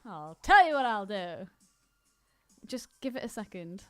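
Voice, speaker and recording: A woman co-hosting a podcast in casual chat about a film, animated and exclamatory, with plenty of swearing. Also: medium pitch, a little slow, no room sound, soft background music. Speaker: podcast co-host.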